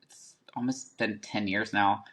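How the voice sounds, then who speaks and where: A man's voice: fairly low pitch, fairly even intonation, measured pace, a bit bright. Chatty guy in his thirties, podcast guest, rambling filler-heavy storytelling. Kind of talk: casual interview storytelling.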